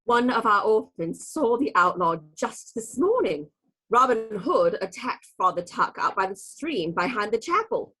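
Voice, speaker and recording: An actress playing an earnest nun, a church sister, in a radio play. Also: female voice, fairly high pitch, big pitch swings, measured pace, bright, forceful tone, breathy edge, full character voice, rough recording. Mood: earnest, alarmed.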